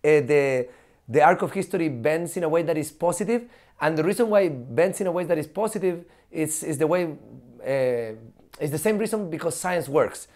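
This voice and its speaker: A high-pitched man, chatting as a podcast guest. He talks in an animated, loose way, full of fillers, laughs and the odd swear word. His voice sits well above the usual male range. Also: normal speed. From Chile. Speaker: guest, professor. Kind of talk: casual podcast conversation.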